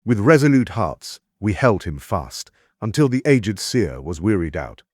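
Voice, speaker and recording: Epic audiobook role: resolute ancient warrior king, deep and dramatic storyteller, dry close recording. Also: sing-song, unhurried, a little mellow, dry recording. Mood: dramatic, storytelling. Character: Greek warrior king recounting his hardships, resolute, earnest.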